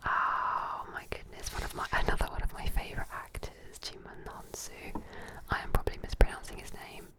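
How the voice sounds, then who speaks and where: A woman in a soft, breathy, unvoiced whisper, giving gentle, chatty, admiring ASMR commentary at an unhurried pace. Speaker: ASMR host. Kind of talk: whispered ASMR commentary while leafing through an art book.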